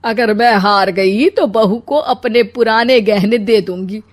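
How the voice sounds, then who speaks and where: A woman voicing an aggrieved, plotting older mother-in-law in a comic animated story, dramatic and complaining. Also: a little high, a little slow, natural voice. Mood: challenging.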